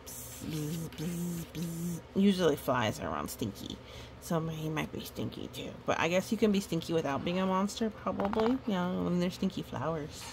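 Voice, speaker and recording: A woman's voice: fairly low pitch, animated, moderate pace, vocal fry, background noise. A woman hosting a chatty picture-book storytime, with playful, rambling asides. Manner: playful, rambling asides with little sung bits and hums. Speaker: storytime reader and host.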